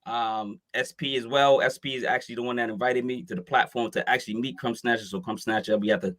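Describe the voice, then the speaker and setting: A man's voice: mid-range voice, steady tone, fast, fairly bright tone. A man talking informally and chattily as a podcaster joining a show as guest co-host. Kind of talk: casual chat.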